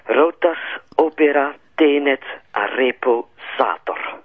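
An actor playing a cold, menacing kidnapper, heard over a telephone. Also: male voice, medium pitch, slow pace, no echo. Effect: telephone filter. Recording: muffled, narrow phone line.

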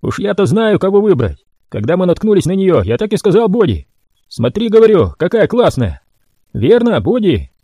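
A man's voice: high-pitched, very animated, normal speed, dark tone, breathy edge, no echo, dull audio, pitched above the performer's own voice, full character voice. A man playing a crazed, cruel male mutant in a spoken story, gloating and snarling.

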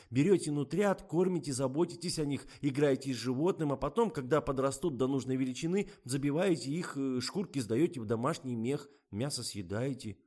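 Man playing an earnest, fussy production assistant, pitched up. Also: mellow, close to an everyday voice. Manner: methodical, emphatic explaining. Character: earnest, fussy male production assistant explaining things methodically.

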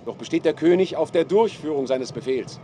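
A man's voice: a little high, animated, brisk, dark tone. A man playing an earnest war correspondent in a radio play, reporting formally and urgently. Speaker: field reporter. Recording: clear outdoor noise behind the voice.

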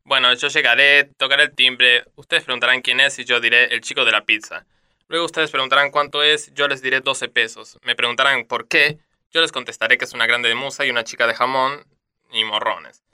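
A man's voice: mid-range voice, fairly even intonation, a little fast, bright, forceful tone, smooth. A man playing a sly, lovestruck criminal dealer, suave and melodramatic, in a parody radio drama. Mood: businesslike, secretive.

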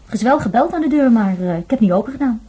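An actress playing a frank, self-assured young student in a radio play, informal and unembarrassed. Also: female voice, a little high, animated, quick pace, warm tone, husky. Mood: guarded, casual. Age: young adult.

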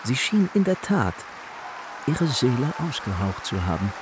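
An actor playing an impassioned nineteenth-century newspaper chronicler in a radio play, declamatory and grandiose. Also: male voice, medium pitch, big pitch swings, a little slow, crowd noise, noisy background. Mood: rapturous, grandiose. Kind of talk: dramatic period news report.

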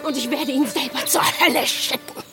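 A woman's voice: high voice, normal speed, over music. A woman playing a fierce, vengeful provincial Russian with an intense, defiant manner in a German radio drama. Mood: bitter, vengeful. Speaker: actor in a radio play.

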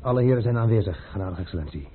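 An actor playing a loyal, deferential manservant in a radio drama, reporting respectfully in clipped phrases. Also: male voice, on the low side, animated, rapid-fire, close to an everyday voice. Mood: dutiful. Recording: muffled old radio recording, faint noise.

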